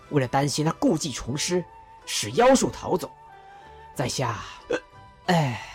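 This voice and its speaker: A woman voicing a deferential, scholarly male strategist who explains things in a formal, measured way. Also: very deep voice, full character voice.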